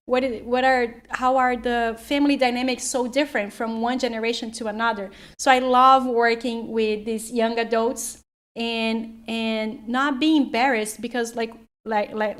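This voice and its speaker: A woman, a life coach co-hosting a chat, talking fast and rambling with lots of "like". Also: fairly high pitch. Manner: quick, rambling with many "like"s. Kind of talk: casual chat.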